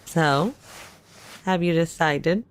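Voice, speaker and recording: An actress playing a supportive, practical young best friend in an audio drama, with a reassuring, casual way of talking. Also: female voice, low-pitched, takes it slowly, a little hiss or hum. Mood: casual, helpful. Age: young adult.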